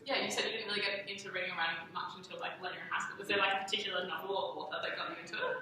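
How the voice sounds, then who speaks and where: A woman's voice: medium pitch, fairly even intonation, rapid-fire, crowd noise. A woman hosting a casual interview in an encouraging, effusive manner. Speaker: interviewer, host. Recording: very echoey room, distant mic, faint noise.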